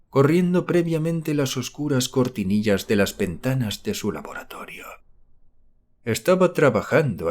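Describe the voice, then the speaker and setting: A man narrating a horror story as an audiobook, reading in a measured, literary storytelling manner. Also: medium pitch. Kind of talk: scripted narration of a horror novel.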